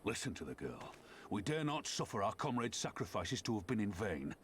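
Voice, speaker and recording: An actor playing a resolute general in a video game, speaking in a solemn, stirring and formal manner. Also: male voice, fairly low pitch, big pitch swings, moderate pace, fairly bright tone, a little hiss or hum. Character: resolute, commanding male general rallying comrades. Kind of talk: dramatic video game voice acting. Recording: game music behind the voice.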